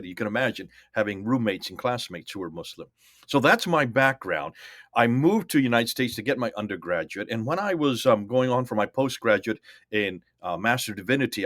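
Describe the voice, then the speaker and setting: A man in his sixties from India speaks as a missionary guest, emphatic and lecturing. He stresses figures and repeats them for effect. His voice is mid-pitched, and the sound is dry and close. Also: fairly bright tone, dry recording. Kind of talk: testimony in an interview.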